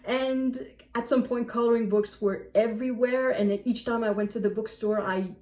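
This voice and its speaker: A woman book vlogger chatting casually to camera in an animated, self-deprecating way. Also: fairly high pitch, moderate pace. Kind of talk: casual chat to camera, answering book prompts. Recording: echoey room, distant microphone.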